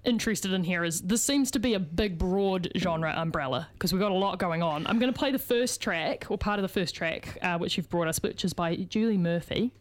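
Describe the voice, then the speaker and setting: A woman's voice: lowish voice, a little fast, few pauses. A woman radio host chatting casually and asking curious questions. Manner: inquisitive. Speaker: radio music show host. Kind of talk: casual radio interview chat. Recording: music playing behind speech. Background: music.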